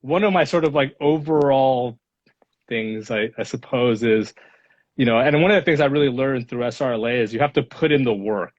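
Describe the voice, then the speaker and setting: A man's voice: medium pitch, moderate pace. A man in his thirties from Los Angeles, whose first language is Spanish, answers interview questions in a reflective way with many fillers. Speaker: guest speaker, lawyer. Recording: dull lo-fi audio.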